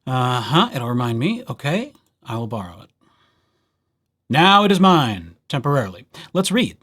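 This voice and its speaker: Playful man with lively, swooping intonation. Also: mid-range voice, normal speed, breathy edge. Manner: playful, wry, chatty asides. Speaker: librarian presenting a tutorial series. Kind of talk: casual screen-share software walkthrough.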